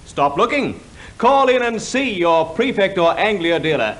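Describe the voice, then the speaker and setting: Animated high-pitched male 1950s radio announcer. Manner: polished, persuasive salesman delivery. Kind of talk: 1950s scripted radio advertising.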